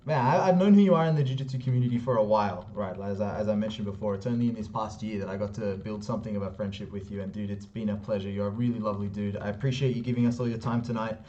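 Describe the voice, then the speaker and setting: A man's voice: fairly low pitch, steady tone, rapid-fire, hardly any pauses, dark tone. A man hosting a casual online interview, laid-back and matey. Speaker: interview host. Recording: dull, slightly lo-fi call audio.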